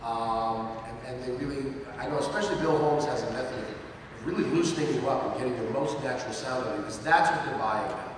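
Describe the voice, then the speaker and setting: A man with a mid-pitched voice gives measured, explanatory advice on a panel. He sounds distant, in a large echoing hall with clear background noise. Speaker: panelist, professional voice actor. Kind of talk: spontaneous advice to an audience. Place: large indoor convention hall. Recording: very echoey hall, distant, noisy.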